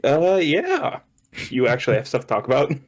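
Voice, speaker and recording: A man's voice: mid-range voice, huge pitch swings, normal speed. A man co-hosting a podcast, chatting casually in a joking, rambling way. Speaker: podcast co-host. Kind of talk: casual comedic chat.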